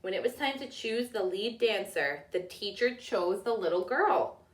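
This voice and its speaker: A woman's voice: mid-range voice, animated, a little slow, distant mic. Woman reading a storybook in an echoey room. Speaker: teacher reading aloud. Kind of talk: children's storybook narration. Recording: echoey room, away from the mic.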